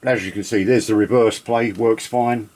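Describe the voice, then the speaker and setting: A man giving a casual, unscripted demonstration of vintage gear, chatty and rambling with halting pauses. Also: fairly low pitch, steady tone, darkish tone.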